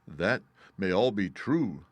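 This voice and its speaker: A man voicing a straw scarecrow who speaks slowly and earnestly, pleading for something he lacks. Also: sits low, sing-song, no echo, voice pushed down, exaggerated voice. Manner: slow, pleading, longing.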